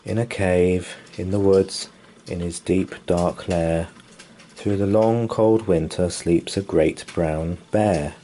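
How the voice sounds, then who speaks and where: A man with a low, mellow voice reads a children's bedtime picture book aloud. He takes it very slowly and lets his pitch swing up and down through the rhyming lines. A faint hiss of background noise sits under the reading. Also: steady tone. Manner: slow, sing-song storytelling. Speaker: story reader. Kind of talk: bedtime story read-aloud.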